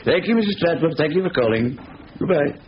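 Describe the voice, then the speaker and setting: A man's voice: a little high, animated, brisk, natural voice. A man acting a fussy, pedantic middle-aged bank manager in a 1970s radio drama, officious and given to repeating his phrases. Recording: dull, faintly noisy 1970s radio recording.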